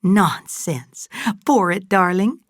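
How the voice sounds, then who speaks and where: A woman's voice: mid-range voice, lively intonation, slow pace, dry recording, studio quality, voice pushed up. A woman voicing an emotional, doting older mother in a storybook, gushing and insistent as she talks. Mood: merry, coaxing. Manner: gushing, exclamatory. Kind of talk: dramatised audiobook dialogue.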